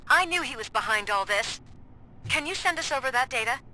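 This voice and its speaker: An actress playing a tough woman police detective, talking in a brisk, punchy, outspoken way. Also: high-pitched, lively intonation, noisy background. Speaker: police officer, the hero's partner.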